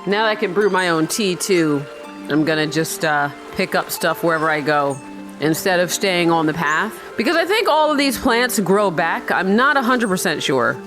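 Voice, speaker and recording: A woman's voice: fairly low pitch, sing-song, moderate pace, rough recording. Chatty woman doing let's-play commentary. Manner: chatty, joking, sarcastic asides. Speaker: gaming video host. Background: game music faintly behind the voice.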